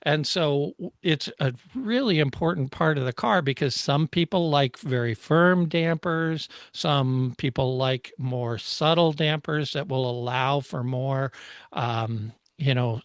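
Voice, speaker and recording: A man co-hosting a casual technical discussion, explaining things in a halting way with frequent pauses. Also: a little high, a bit bright, dry recording, studio quality. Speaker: co-host explaining motorsport technology.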